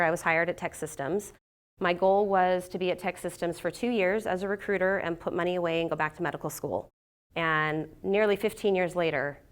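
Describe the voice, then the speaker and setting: A middle-aged woman getting over a cold, giving a conversational talk to a business group as guest speaker. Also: on the low side. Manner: conversational, with fillers and restarts.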